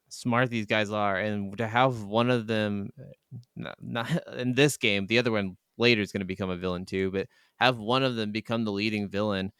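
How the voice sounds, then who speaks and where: A man's voice: fairly low pitch, fairly bright tone, clear, resonant tone, no room sound, studio quality. A man co-hosting a gaming podcast, chatting casually and emphatically with some swearing. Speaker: podcast co-host. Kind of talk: casual chat about video games.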